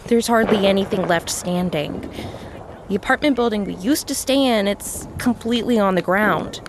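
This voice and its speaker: A woman's voice: medium pitch, slight background noise, lightly acted. Woman acting anxious young invasion survivor. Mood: shaken, weary. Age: young adult. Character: shaken young woman documenting an alien invasion. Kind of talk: audio drama acting, phone diary.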